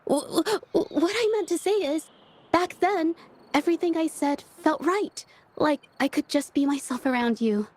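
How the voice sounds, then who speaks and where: A woman playing a shy, earnest young female painter in an anime-style video game, gentle-hearted and sincere. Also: high-pitched, normal speed, lightly acted. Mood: flustered. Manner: soft-hearted, earnest, hesitant when flustered. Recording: music behind the voice. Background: game soundtrack music.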